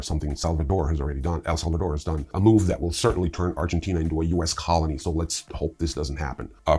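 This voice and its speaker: A man hosting a scripted news roundup, reading in a halting way with informal, dry asides. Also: sits low, a little mellow. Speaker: news host.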